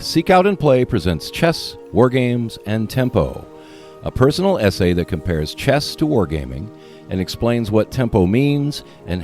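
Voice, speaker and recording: A man's voice: lowish voice, darkish tone. Guy hosting a podcast, really varied intonation, scripted announcer-ish talk, bit of music behind him. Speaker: podcast host.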